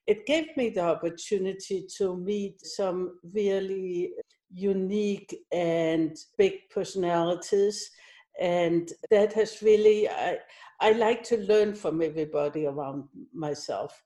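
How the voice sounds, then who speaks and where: A woman's voice: fairly low pitch, a little slow, mellow. A middle-aged woman from Denmark, answering interview questions conversationally as a company executive. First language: Danish.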